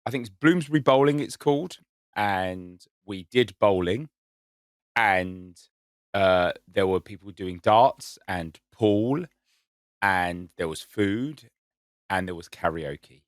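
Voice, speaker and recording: A man's voice: low-pitched, slow pace, no echo, studio quality. A man co-hosting a casual podcast, telling stories in an enthusiastic, self-mocking way. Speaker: podcast co-host. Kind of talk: casual chat.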